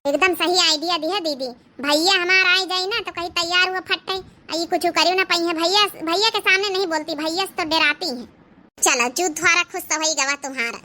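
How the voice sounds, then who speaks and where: A woman's voice: very high-pitched, brisk, bright, forceful tone. A woman voicing an aggrieved, scheming young village housewife in a homemade comedy cartoon, with an Awadhi accent and a dramatic, complaining manner. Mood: relieved, scheming.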